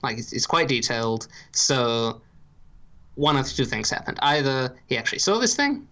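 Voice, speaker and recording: A man from Ireland with no marked accent, co-hosting a podcast and calmly laying out his reasoning in a dry, deliberate way. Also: medium pitch. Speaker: podcast co-host. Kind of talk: casual podcast chat.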